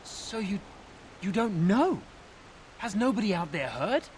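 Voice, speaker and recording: Fantasy game villager: high male voice, worried, respectful, a frightened commoner seeking help. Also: sing-song, unhurried, slight background noise. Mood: anxious, pleading. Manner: anxious, deferential. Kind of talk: fantasy video game dialogue.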